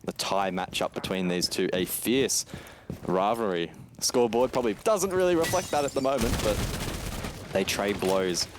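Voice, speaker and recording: A man's voice: on the low side, expressive, moderate pace. A man giving live play-by-play commentary and banter on an esports match. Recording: clear game noise and gunfire behind. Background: video game gunshots and effects.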